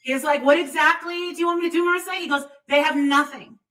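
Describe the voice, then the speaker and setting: A woman with a high, sharp voice, picked up a little way from the mic. She hosts a casual livestream chat in a blunt, gossipy, profane manner. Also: fairly even intonation, quick pace, far from the mic.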